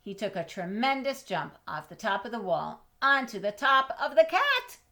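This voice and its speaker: A woman reading a children's storybook aloud expressively, with dramatic pauses, drawn-out words and questions to her young listeners. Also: a little high, big pitch swings, sharp tone. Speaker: storybook reader.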